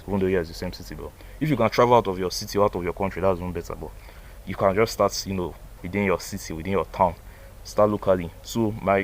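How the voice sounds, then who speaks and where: A man's voice: deep voice, slight background noise. A man, rambling loosely through a casual motivational monologue for his vlog, full of "like" and "bro". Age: 20s. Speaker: vlogger. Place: outdoors by a banana tree.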